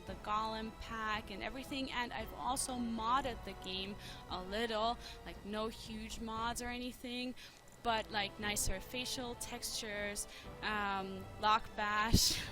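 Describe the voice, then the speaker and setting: A German woman talking casually as a let's player, hesitant and filling pauses with ums and shy little laughs. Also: medium pitch. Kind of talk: casual gaming commentary. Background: game music.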